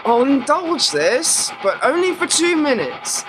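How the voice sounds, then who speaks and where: A woman's voice: sits high, big pitch swings, a little slow, noisy background. A woman playing a sceptical, impatient young granddaughter in a radio play, with a dry, questioning manner.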